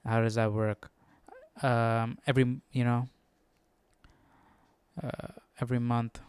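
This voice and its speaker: A man's voice: mid-range voice, flat delivery, takes it slowly, a little mellow, clean tone, no echo. A man hosting a Bible study stream, casually thinking aloud in a tentative, self-questioning way. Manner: tentative, self-questioning, often tags sentences with "right?". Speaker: host of a Bible study livestream.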